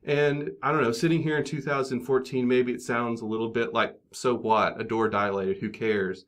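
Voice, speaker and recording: A man's voice: medium pitch, level tone, moderate pace, fairly bright tone. An American man with a wry, dryly joking manner, hosting a podcast round table and introducing guests. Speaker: podcast host.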